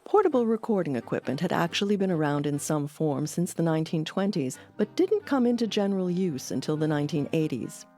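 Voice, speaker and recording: A woman hosting an audio documentary, reading scripted narration in a measured, explanatory way. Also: low-pitched, lively intonation, warm tone. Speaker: documentary host. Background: music under the voice.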